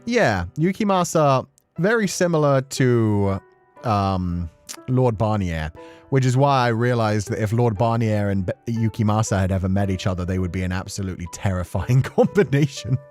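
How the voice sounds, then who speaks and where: A man giving casual, animated commentary on a story game, swearing freely as a streamer. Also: lowish voice, moderate pace, soft background music. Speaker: streamer playing and reading a visual novel.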